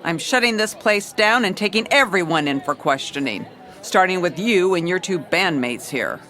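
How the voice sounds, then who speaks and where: An actress playing a world-weary, dogged female police commissioner, narrating noir-style in a dry, deadpan way. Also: lowish voice, moderate pace. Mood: dry, determined. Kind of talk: noir detective narration and dialogue.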